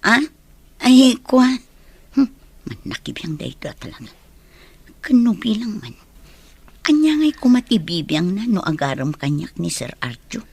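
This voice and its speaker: A woman's voice: mid-range voice, sing-song, measured pace, vocal fry, long pauses, darkish tone, breathy edge, full character voice. A woman playing a friendly, loyal and chatty female teacher in an audio drama. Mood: flustered. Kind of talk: Ilocano audio drama acting.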